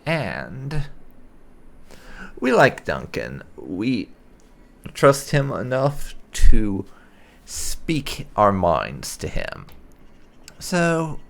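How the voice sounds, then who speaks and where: A man's voice: medium pitch, wildly swooping pitch, drawn-out words, vocal fry, long pauses, a bit bright. A man hosting a roleplay playthrough of a game, commenting in a wry, opinionated way. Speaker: gaming channel host.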